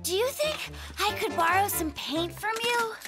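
Young male polar bear cub, very high pitch, earnest, friendly, mild, children's cartoon, music behind. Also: very animated, measured pace, full character voice.

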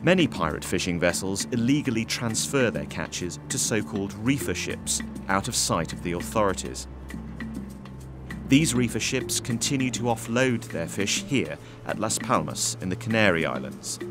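Lowish guy doing documentary voice-over, steady and measured, music playing under it. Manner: measured, even documentary delivery. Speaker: documentary narrator. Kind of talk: scripted narration.

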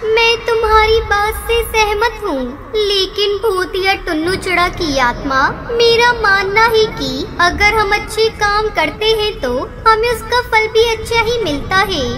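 A woman plays a brave little female ghost bird from a cartoon. The voice is extremely high and childlike, with an earnest, kindly manner. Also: unhurried, hardly any pauses. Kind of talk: Hindi moral-story cartoon dubbing.

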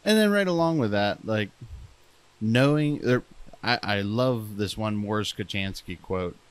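A man's voice: on the low side, lively intonation, measured pace, clear, resonant tone, dry recording. A young man chatting casually as a podcast co-host, rambling and self-deprecating with frequent restarts.

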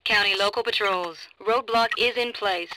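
A woman playing a brisk, composed police dispatcher in a video game, speaking in clipped procedural phrases over the radio. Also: mid-range voice, sharp tone. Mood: businesslike. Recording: noisy police radio, clicks.

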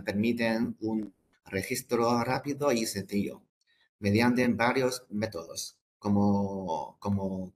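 Low-voiced man giving a halting presentation. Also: slight background noise. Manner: halting, hesitant delivery with repeated words and broken phrases. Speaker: product presenter. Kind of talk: webinar product presentation.

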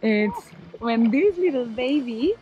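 A young woman vlogger telling a short memory softly and slowly. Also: a little high, lively intonation, dull audio, soft background music. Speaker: sailing vlogger.

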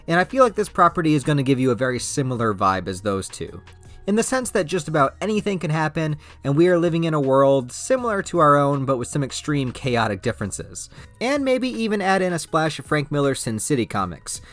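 A man's voice: a little high, very animated, fairly quick, a bit bright, faint music behind. A man giving a scripted promotional narration as a video host. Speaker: YouTube host presenting a project.

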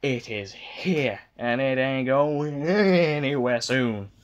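A giddy young man in his twenties gushes into a phone held at arm's length in a small bedroom. His voice sits at a middling pitch, and he lurches from near-shouts down to breathy whispers. He swears freely as he raves. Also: slow pace, highs cut off. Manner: giddy and gushing, swings from shouting to hushed whispers, swears a lot. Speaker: vlogger. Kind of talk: excited reaction vlog.

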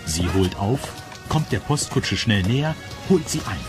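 A man with a husky voice narrating a scripted children's radio story. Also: fairly low pitch, lively intonation, measured pace, over music.

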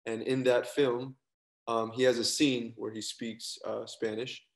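Young actor guest, rambling and easygoing, low and gravelly, on a video call. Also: male voice, level tone, measured pace. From Colombian family. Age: young adult. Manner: laid-back, rambling, trailing off. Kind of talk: casual interview chat. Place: indoor room, video call.

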